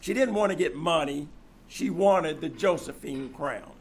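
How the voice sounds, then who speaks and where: An actor playing a flustered, shady husband with a hesitant, stammering delivery in an old-time radio play. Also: male voice, fairly high pitch, unhurried, husky. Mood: uneasy confessing. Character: flustered, shady wealthy husband with a guilty secret.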